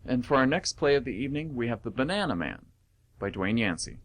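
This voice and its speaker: A man announces and narrates for a radio theatre show. His mid-pitched voice moves widely in tune as he reads scripted material. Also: very animated, fairly quick.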